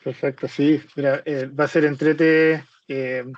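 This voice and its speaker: A man's voice: on the high side, level tone, measured pace, lo-fi sound. A man hosting a casual podcast interview in a polite, informal way. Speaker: podcast host.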